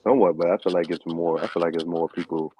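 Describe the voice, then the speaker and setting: A man's voice: deep voice, steady tone, fast, dark tone, dry recording. A man hosting a podcast, chatting casually and putting questions and opinions to his guest. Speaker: podcast host. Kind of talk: casual chat.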